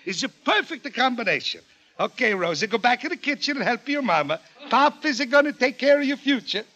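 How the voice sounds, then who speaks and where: A high, bright voice swoops about as a blustery middle-aged Italian father and restaurateur. He wheedles and pushes in broken English with a thick Italian accent, in old-time radio sitcom style. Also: very animated, a little fast, dull audio, full character voice. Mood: scheming, fatherly. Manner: effusive, pushy, broken English. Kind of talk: 1940s radio sitcom acting.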